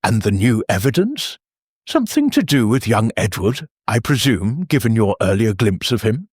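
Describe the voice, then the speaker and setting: A man voicing a shrewd old lady sleuth in an audiobook, knowing and gently inquisitive. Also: lowish voice, big pitch swings, normal speed, breathy edge, dry recording, studio quality. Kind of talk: audiobook character dialogue.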